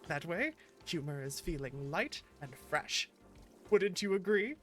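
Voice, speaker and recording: A woman voicing a gentle, jolly male tea-shop keeper from a video game, earnest and slightly formal. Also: fairly low pitch, animated, a little slow, faint music behind. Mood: jovial, eager. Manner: slightly formal, quaint phrasing. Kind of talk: reading game dialogue in character.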